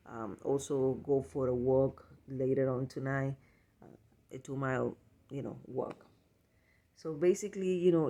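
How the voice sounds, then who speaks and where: A man chatting casually in a personal vlog, halting often as he repeats and corrects himself. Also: mid-range voice, dark tone. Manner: halting, repetitive, self-correcting delivery. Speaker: vlogger.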